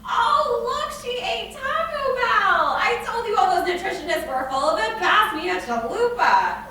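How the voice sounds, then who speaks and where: Woman putting on a shrill, gloating loudmouth, echoey. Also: animated, measured pace, exaggerated voice. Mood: gleeful, mocking. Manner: mocking, exclamatory. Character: gloating, loud obituary reader, crass and dismissive. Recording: echoey room from far off, noisy.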